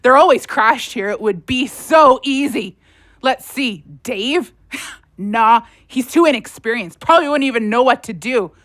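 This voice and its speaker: An actress playing a defiant, hurt young wife in an audio drama, with a biting, sarcastic manner. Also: female voice, on the high side, animated, measured pace, fairly bright tone. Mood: defiant goading.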